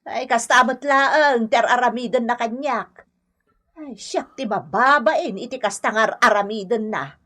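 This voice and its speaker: Plaintive older mother, highish voice woman acting. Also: unhurried, pushed and bright. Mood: dismayed, ashamed. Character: older mother, embarrassed and defensive about her son. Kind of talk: Ilocano radio comedy drama acting.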